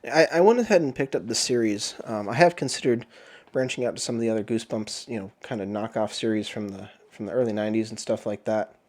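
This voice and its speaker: A man hosting a book review channel, chatting casually while sick with the flu, his voice a bit off, often clearing his throat. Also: lowish voice, quick pace, a little mellow. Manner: rambling, frequent throat clearing and filler words. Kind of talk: casual chat.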